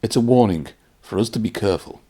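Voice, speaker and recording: A man voicing a steady, cautious engine driver in a storybook, matter-of-fact and cautionary. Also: lowish voice, fast talker, breathy edge. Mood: calm, wary.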